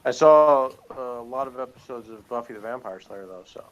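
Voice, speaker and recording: A man's voice: medium pitch, fairly quick, muffled recording. A man in a casual, unscripted review chat, speaking in a hesitant, rambling way as he thinks aloud.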